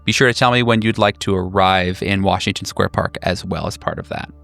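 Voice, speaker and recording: A man's voice: deep voice, steady tone, fairly quick, creaky, a bit bright, soft background music. A man hosting a tabletop role-playing podcast as game master, introducing the show and prompting players.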